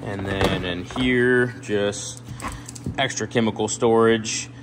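A young man casually walking through his work trailer, talking in a relaxed, rambling way with lots of ums. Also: lowish voice, slow pace, background noise. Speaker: pressure washing business owner. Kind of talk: casual walkthrough tour. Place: indoor metal trailer, shop garage. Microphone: handheld phone camera.

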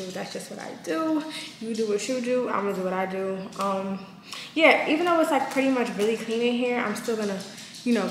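Young woman, chatty vlog talk, slangy, encouraging, handheld camera, noisy background. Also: on the high side. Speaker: vlogger. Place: car, then empty apartment. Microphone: handheld camera close up. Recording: noisy car then echoey room.

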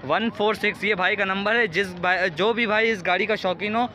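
A man with a Karachi Urdu accent chats casually while filming cars at an outdoor bazaar, asking sellers questions and repeating their answers. His voice sits high and sounds bright and forward. It is picked up by a handheld phone outdoors. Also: steady tone, moderate pace. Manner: repeats prices and details back, asks sellers questions. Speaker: vlogger touring a car market. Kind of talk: casual walkaround car review. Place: outdoor car bazaar among crowds.

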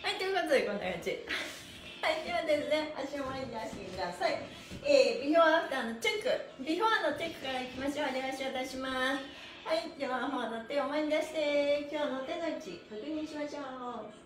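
Cheerful high-voiced woman leading exercise. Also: quick pace. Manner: cheerful, energetic, encouraging, sing-song. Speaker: stretching instructor and livestream host. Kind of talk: exercise class livestream, chatty instruction with counting chants. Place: small bare-walled indoor studio room. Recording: roomy echo, mic a little away.

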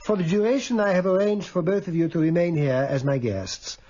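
An actor playing an earnest, authoritative male doctor in a radio drama, speaking in a formal, measured, insistent manner. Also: high voice, dark tone, muffled recording. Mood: reassuring resolve. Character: Sigmund Freud, earnest, compassionate, authoritative Viennese doctor.

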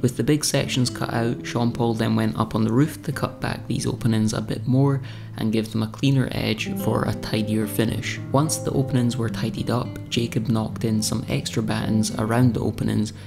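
Young male vlog narrator, low gravelly voice, flat even delivery, music bed under it. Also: normal speed. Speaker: renovation vlogger. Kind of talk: scripted voice-over narration.

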